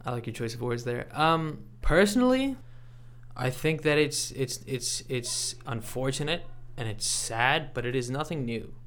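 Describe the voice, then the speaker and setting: A man giving reflective interview commentary as a podcast guest, in a measured, thoughtful and halting way. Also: mid-range voice, expressive, a bit bright. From Canada. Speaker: podcast guest, sports reporter.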